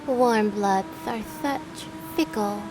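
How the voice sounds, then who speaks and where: A woman's voice: on the high side, slow pace, noisy background. A woman playing a contemptuous, menacing sea creature, speaking with cold, theatrical disdain in an audio drama. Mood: cold contempt.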